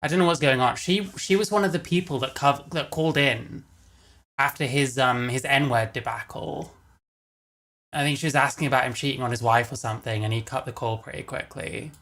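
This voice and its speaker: A man's voice: mid-range voice, fairly quick, a bit bright. A man giving casual live commentary as a streamer reacting to another stream.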